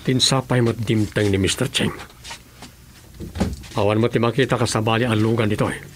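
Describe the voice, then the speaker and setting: A man as a low-voiced, grave governor. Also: fairly even intonation, normal speed, background noise, natural voice. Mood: brooding. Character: grave, watchful male governor under threat. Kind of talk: radio drama acting.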